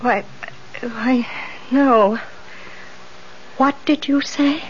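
An actress playing an anxious, fretful rooming-house landlady with a breathy voice in a 1970s radio drama. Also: high-pitched, fairly even intonation, full character voice. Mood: frightened, halting. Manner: fluttery, halting, anxious. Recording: dull 1970s radio recording.

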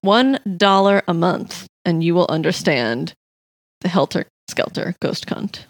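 A woman's voice: on the low side, animated, measured pace, no room sound. A woman co-hosting a podcast, bantering casually with a wry, teasing and blunt comic manner. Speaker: podcast co-host.

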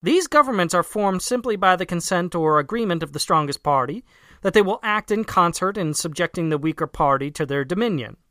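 A narrator reading a formal political essay aloud in a measured, emphatic way. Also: mid-range voice, animated, fairly bright tone, dry recording, clean studio sound. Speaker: audiobook reader.

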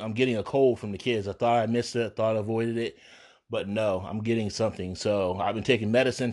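A man in his thirties talks through his solo podcast with a hoarse, cold-roughened voice. He rambles easily, filling gaps with ums and pausing to cough. His pitch sits at an ordinary middle height. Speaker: podcast host. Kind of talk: casual solo podcast chat.

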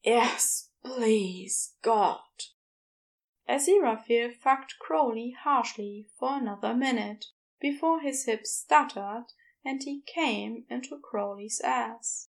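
Female audiobook narrator, higher-pitched with vocal fry, very unhurried story reading. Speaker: fan fiction audiobook reader.

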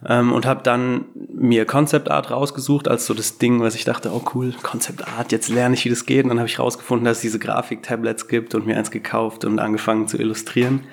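A young man talks at an ordinary middle pitch as a podcast guest. He rambles through his story and keeps playing down his own skill. The tone is relaxed and chatty. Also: fairly quick, creaky, few pauses. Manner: rambling, self-deprecating storyteller. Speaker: guest game designer. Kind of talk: casual podcast interview. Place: Berlin.

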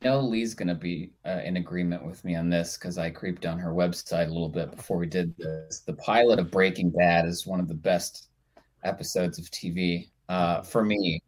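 Writer guy on a panel, really low voice, casual chat. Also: fairly even intonation, normal speed.

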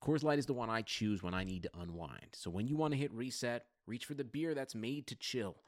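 A man reading a scripted radio-style advertisement in a smooth, laid-back way. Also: medium pitch, brisk, no echo, clean studio sound. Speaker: ad announcer. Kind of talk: scripted ad read.

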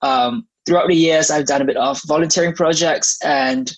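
A young man from Malaysia, a law student, answering interview questions in a thoughtful, measured way. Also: on the high side, steady tone.

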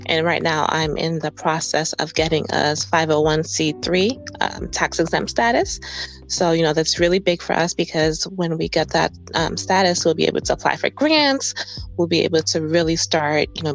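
A woman's voice: sits low, low-quality recording. A woman being interviewed about her nonprofit, speaking in an earnest, upbeat way with frequent fillers. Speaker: nonprofit founder, guest. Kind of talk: casual interview chat.

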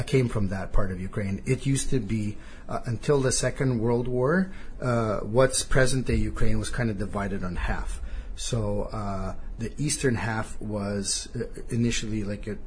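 Low-voiced man, rambling and relaxed. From Western Canada. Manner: rambling, many fillers and restarts, chuckles often. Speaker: interview guest. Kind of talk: relaxed conversational interview. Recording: faint background noise.

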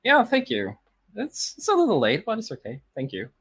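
A man's voice: sits high, huge pitch swings, moderate pace, a bit bright, husky, dry recording. A thirty-year-old man from Poland whose first language is Polish, chatting casually as a podcast guest, rambling with fillers and restarts. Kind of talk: casual interview chat.

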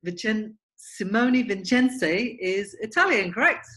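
A woman's voice: medium pitch, animated, a little slow, fairly bright tone. A woman hosting a self-development podcast, talking in an upbeat, chatty way and laughing often. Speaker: podcast host. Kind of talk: casual interview chat.

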